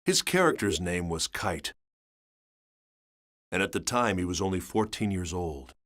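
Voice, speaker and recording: An actor playing a sober engineer recounting his journal in measured, grave narration for a video game. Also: sits low, fairly bright tone. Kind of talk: scripted dramatic narration.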